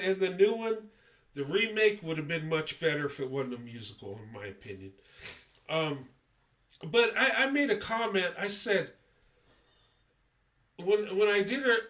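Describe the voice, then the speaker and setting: A man's voice: on the high side, fairly bright tone. A congested man hosting a casual livestream, talking in a rambling, hesitant way with many fillers and restarts. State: congested, stuffy nose. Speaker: livestream host.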